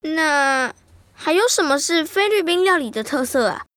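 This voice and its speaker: A woman's voice: high voice, expressive, moderate pace, a bit bright, no echo, clean studio sound. A woman playing an enthusiastic, curious, food-loving child in an educational radio drama. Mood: curious, chatty.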